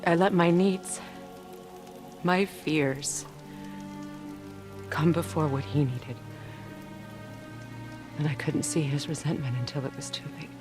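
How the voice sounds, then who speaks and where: A woman's voice: deep voice, steady tone. A solemn, motherly witch over music. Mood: sorrowful, confiding. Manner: measured, solemn, tender. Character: wise, sorrowful, motherly exiled witch. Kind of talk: dramatic video game cutscene acting.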